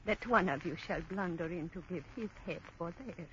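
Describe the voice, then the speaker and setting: A woman's voice: lowish voice, close to an everyday voice. An actress playing a cryptic, ominous old woman who speaks in riddles, in a 1940s radio drama. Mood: chanting. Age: older. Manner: slow, chanting, speaks in riddles. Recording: dull old radio broadcast, faint hiss.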